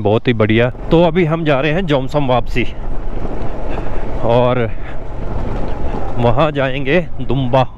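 A middle-aged man from Delhi narrating a motorcycle travel vlog outdoors, chatting casually about the sights as he rides. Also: medium pitch. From Delhi, India. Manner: chatty, describing sights while riding. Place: outdoors on a motorbike in mountain villages. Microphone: helmet-mounted action camera. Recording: dull outdoor recording with wind noise.

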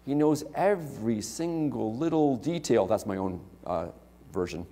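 A man's voice: mid-range voice, animated, a little slow, warm tone. Middle-aged male preacher in a reverberant church. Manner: conversational preaching with frequent "okay?" and "right?" asides. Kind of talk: sermon. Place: church sanctuary, large indoor hall. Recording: long reverb of a church hall.

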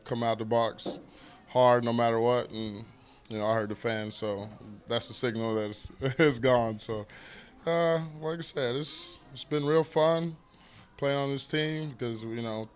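Brief: relaxed male ballplayer, post-game interview, mid-pitched, lots of fillers, handheld mic. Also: clear, resonant tone. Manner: laid-back, laughs easily, many fillers. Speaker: baseball player. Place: indoors in front of a sponsor backdrop.

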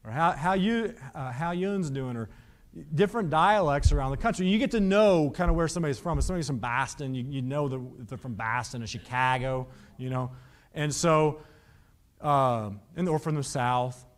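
Man in his thirties, preacher, slightly high voice, lively rhetorical questions, studio stage sermon. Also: normal speed. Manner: animated, asks the listeners rhetorical questions. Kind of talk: Bible teaching sermon. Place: studio stage with painted backdrops.

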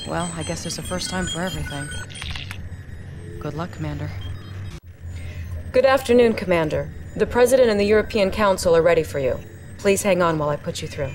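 Lowish-voiced American female lieutenant. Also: animated, brisk, long pauses, darkish tone, faint music behind. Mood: composed, briefing. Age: young adult. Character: crisp, composed female military intelligence lieutenant. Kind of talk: video game mission briefing.

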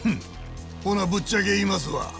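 A man's voice: high-pitched, fast, gravelly, over music. A man playing a menacing, mock-polite Kansai yakuza boss in a video game, drawling and taunting in Kansai dialect. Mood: mock-polite menace. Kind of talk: dramatic video game cutscene acting.

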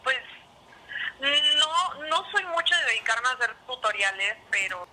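A young woman talks hesitantly on the telephone. Her voice is fairly high and very sharp and bright, coming through a phone speaker. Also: expressive, measured pace, slight background noise, rough recording. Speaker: person answering a phone call.

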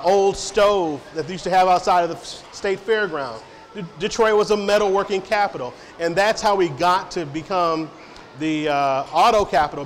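A man's voice: high voice, a bit bright. A middle-aged man answering audience questions in a science center hall, friendly and rambling with many fillers and restarts. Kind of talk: informal public Q&A talk. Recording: reverberant hall, faint background noise.

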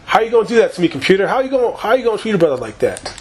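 A man giving loose, profane, rambling commentary over his own gameplay, his voice slightly hoarse after a long session. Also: sits high, sing-song, very fast. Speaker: gaming YouTuber.

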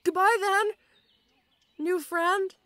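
Woman playing a high-voiced shy tomboy orc girl. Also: fairly even intonation, extremely slow, fairly bright tone, full character voice. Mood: hopeful, bashful. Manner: hesitant, stammering, bashful. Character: shy, bashful tomboy orc schoolgirl, strong but gentle. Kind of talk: intimate audio roleplay.

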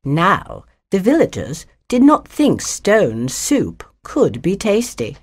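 Storybook narrator, female, slightly low voice, unhurried, scripted children's tale. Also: huge pitch swings, husky. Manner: storybook expressiveness.

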